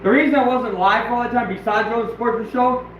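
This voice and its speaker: A hoarse man who is losing his voice, rambling excitedly and bluntly as he hosts a casual outdoor livestream. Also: very high-pitched, fairly quick, a little hiss or hum. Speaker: trapper and channel host. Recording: very echoey, distant, dull and lo-fi.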